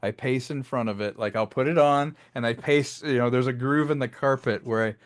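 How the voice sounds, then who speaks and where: A man's voice: mid-range voice, a little fast. Man telling stories in an interview. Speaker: interviewed filmmaker and audio drama creator. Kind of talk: casual interview talk about craft.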